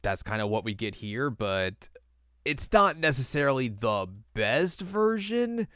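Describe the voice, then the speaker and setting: A man's voice: mid-range voice, sing-song, measured pace, fairly bright tone, clean tone, no room sound. A man co-hosting a podcast, chatting casually and informally about films with some dry humor. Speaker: podcast co-host. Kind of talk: casual film review chat.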